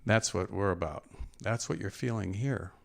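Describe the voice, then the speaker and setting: A man's voice: on the low side, moderate pace, creaky. A man hosting a spiritual workshop welcomes the guest in earnest, poetic phrases. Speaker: workshop host.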